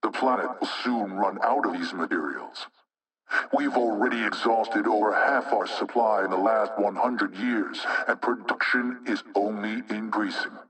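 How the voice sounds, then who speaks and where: An actor playing an anonymous male hacktivist spokesman in a video game propaganda broadcast, speaking in a measured, rhetorical, insinuating way with menace. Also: fairly low pitch, fairly bright tone, recorded from a distance, rough recording. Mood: accusing.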